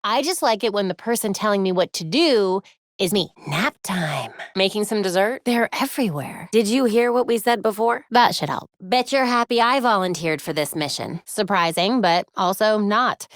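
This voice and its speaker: A woman's voice: mid-range voice, lively intonation, moderate pace, no room sound, clean studio sound, close to an everyday voice. An actress voicing a witty, determined young female vigilante and detective in scripted video game lines, with a wry, earnest delivery. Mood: wry, focused. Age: young adult.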